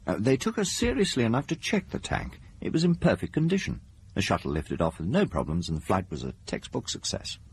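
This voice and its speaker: An actor playing a studio expert recounting uncanny case histories in a radio drama, deliberate and conversational. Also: male voice, on the low side, sing-song, slightly rough, heavily acted. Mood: intrigued, measured.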